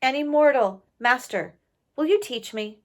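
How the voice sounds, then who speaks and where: Woman voicing an insistent, restless storybook man, raised pitch. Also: expressive, unhurried. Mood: eager. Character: restless, ever-dissatisfied ambitious man, storybook seeker.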